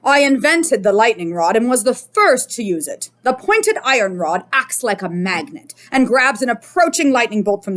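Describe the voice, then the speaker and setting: Lady playing a boastful old inventor guy from a kids book, highish voice, really animated, full of himself. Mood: proud. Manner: self-congratulating, theatrical. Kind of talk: children's picture book read-aloud.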